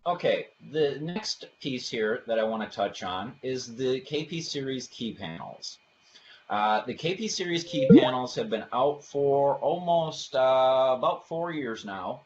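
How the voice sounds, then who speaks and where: A man's voice: medium pitch, lively intonation, measured pace. A man giving an explanatory product presentation for a webinar, talking through technical slides in informal phrasing. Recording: dull lo-fi audio, faint background noise.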